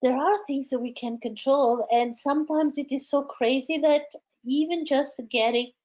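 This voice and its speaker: Halting woman coach, slightly high voice. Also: steady tone, a little mellow, dull audio. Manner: hesitant, many repeated words and fillers. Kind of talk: advisory talk on coping with stress.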